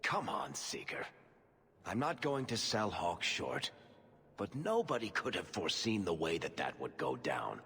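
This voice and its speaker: An actor playing a sardonic male storyteller being questioned, speaking in a husky voice. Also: deep voice, big pitch swings, measured pace, sharp tone, full character voice. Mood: defensive. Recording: game audio with long reverb.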